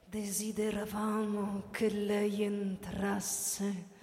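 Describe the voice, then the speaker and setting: A woman playing a calm, courteous alien in a radio drama, polite and formal with a faintly condescending patience. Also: mid-range voice, drawn-out words. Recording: echoey, reverberant processed-sounding space.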